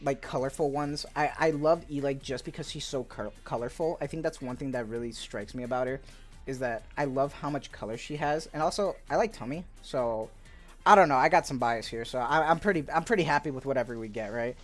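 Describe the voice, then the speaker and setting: Man, gaming YouTuber, casual commentary, rambling, upbeat, medium pitch, faint music behind. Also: fairly quick. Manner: laid-back, rambling, peppered with "like" and "dude"; upbeat and eager. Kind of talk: casual commentary on viewer suggestions. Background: faint background music.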